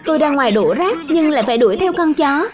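A woman's voice: high-pitched, animated, fast talker. A woman voicing a grumpy middle-aged cartoon neighbour with an indignant, accusing manner.

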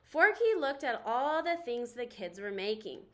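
A young woman reading a picture book aloud to children in an expressive, animated storytelling manner. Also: on the high side, unhurried. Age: young adult.

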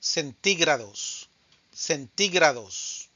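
Slow, deliberate male Spanish teacher. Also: on the high side, lively intonation, pushed and bright, no room sound, lo-fi sound. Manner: slow, deliberate, says each answer twice. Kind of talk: scripted vocabulary drill.

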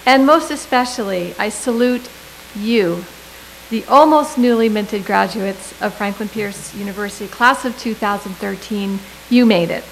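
A middle-aged woman delivering a prepared commencement speech at a podium microphone, with measured delivery and deliberate emphasis. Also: mid-range voice, slight background noise, poor audio. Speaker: commencement speaker.

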